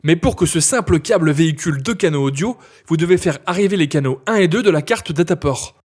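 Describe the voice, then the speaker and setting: Male instructor, slightly high husky voice, scripted step-by-step software tutorial read. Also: lively intonation, a little fast.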